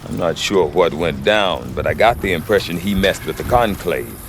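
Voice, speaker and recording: A chatty middle-aged game trader with a low voice that swings widely. He talks in wry, folksy salesman's patter, fumbling for the tactful word. Steady ambient noise sits behind him. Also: normal speed. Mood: wry, confiding. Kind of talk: video game character acting.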